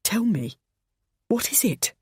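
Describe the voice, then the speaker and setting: A woman voicing a young man who comforts his mother in a soft, quiet way. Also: a little high, sing-song, slow, slightly rough, dry recording, clean studio sound, voice pushed up, full character voice. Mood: gentle. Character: young adult man, gentle comforting son.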